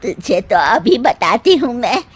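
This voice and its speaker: A woman's voice: a little high, very animated, measured pace, slight background noise. A woman voicing a fussy, scolding older mother-in-law in a cartoon, with an exasperated manner. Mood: irritated. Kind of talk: Hindi cartoon voice acting.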